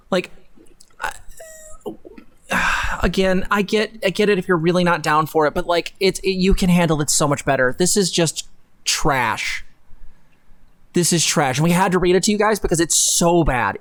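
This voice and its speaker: A woman's voice: fairly low pitch, a little slow, long pauses. A young woman co-hosting a casual livestream, sardonic and profane, leaning hard on words for emphasis. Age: young adult. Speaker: co-host of a livestream. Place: bedroom, indoors. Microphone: close desk microphone on a boom arm.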